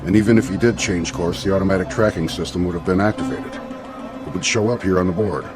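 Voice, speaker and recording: An actor playing a matter-of-fact mission control officer in a science fiction radio drama, speaking in clipped procedural phrases. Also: male voice, low-pitched, fast, over loud music, close to an everyday voice. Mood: grave, matter-of-fact.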